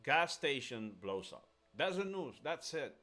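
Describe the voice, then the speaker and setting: A man's voice: a little high, big pitch swings, unhurried, bright, forceful tone. A man chatting casually and giving strong opinions as host of a live stream, emphatic and often repeating himself. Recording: music under the voice. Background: music.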